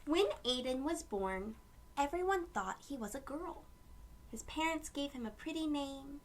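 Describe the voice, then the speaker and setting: A woman reading a picture book aloud to young children, with gentle storytelling phrasing and asides to her listeners. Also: high voice, animated, a little slow, slight background noise.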